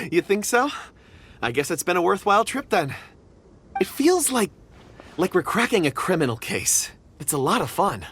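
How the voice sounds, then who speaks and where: A man playing a modest, earnest young man in a video game, speaking politely and gently. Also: sits high, very animated, unhurried, slight background noise, heavily acted. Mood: pleased.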